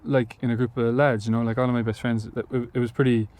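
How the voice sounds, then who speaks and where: A man's voice: medium pitch, level tone, fast talker, darkish tone. A young man from Galway with an Irish accent, answering interview questions hesitantly with many fillers and restarts. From Ireland, Galway. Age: young adult. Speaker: musician guest.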